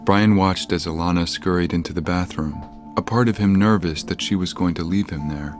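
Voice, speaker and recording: Man, deep voice, scripted story narration, audio drama, clear background music. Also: normal speed.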